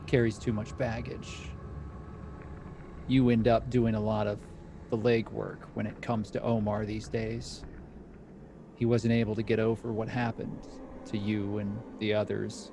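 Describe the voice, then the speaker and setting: A man runs a tabletop horror campaign as game master, his voice mellow and dark. He narrates scenes in a measured, descriptive way, then turns to the players with questions. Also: on the low side, a little hiss or hum. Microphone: streaming mic.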